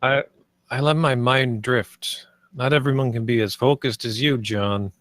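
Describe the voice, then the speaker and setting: A man's voice: medium pitch, steady tone, measured pace, a bit bright, dry recording, clean studio sound, lightly acted. A man playing an eager, nervous theme park designer in a script table read, sounding like a slightly hesitant salesman. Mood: sheepish.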